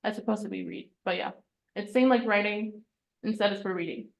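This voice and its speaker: A woman's voice: medium pitch, brisk, gravelly, highs cut off. A woman teaching a citizenship class, explaining the reading test in a plain, reassuring way, step by step. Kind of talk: casual classroom instruction. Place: online class recording.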